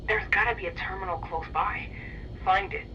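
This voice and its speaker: A woman plays a stealthy infiltrator talking an operative through a game mission. Her medium-pitched voice is focused, businesslike and instructive, with clear noise around it. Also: steady tone, moderate pace, highs cut off, close to an everyday voice. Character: focused female infiltrator guiding an operative by radio. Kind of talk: video game acting.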